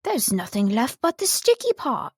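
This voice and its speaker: A woman voicing a gentle, simple-minded, honey-loving male toy bear in a children's storybook. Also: on the high side, huge pitch swings, moderate pace, slightly rough, no echo, studio quality, pitched above the performer's own voice, heavily acted. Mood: mild dismay.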